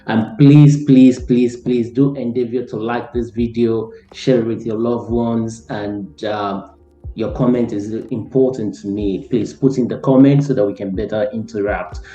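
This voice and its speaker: A man hosting a motivational interview, talking earnestly, repeating his pleas and filling pauses with "you know". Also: fairly low pitch, fairly even intonation, dark tone, echoey room, highs cut off, faint music behind. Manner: earnest, pleading, repeats words. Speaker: host.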